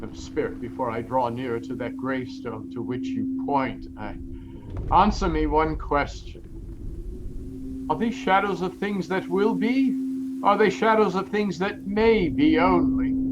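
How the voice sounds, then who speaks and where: Male actor as elderly repentant miser: high voice, halting theatrical delivery, audio drama with clear background noise. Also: dull audio, natural voice. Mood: dread, sorrow. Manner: halting, stammering, fervent exclamations. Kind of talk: theatrical audio-play acting. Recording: noisy audio-play recording.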